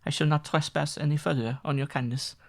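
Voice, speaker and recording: A man voicing a small, quiet young foreign woman with a gentle accent. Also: fairly high pitch, fairly even intonation, a little fast, gravelly, voice pushed up. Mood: soft. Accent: foreign accent put on by reader.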